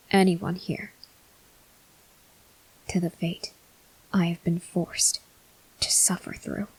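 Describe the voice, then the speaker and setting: Woman as a husky, whispering, brooding vampire soldier. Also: on the low side, a little mellow, poor audio. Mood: stern, disbelieving. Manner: slow, halting, grave delivery. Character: brooding male vampire soldier, weary, stern, tormented. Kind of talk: ASMR roleplay, slow dramatic monologue.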